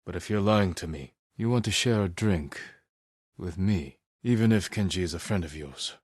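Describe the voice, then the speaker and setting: An actor playing a stern, honorable young samurai in a video game, speaking low and husky in a restrained, measured, terse way. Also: male voice, a little mellow, no echo, clean studio sound. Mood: firm, measured. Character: stern, honorable young samurai warrior, weary but resolute. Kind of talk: dramatic video game acting.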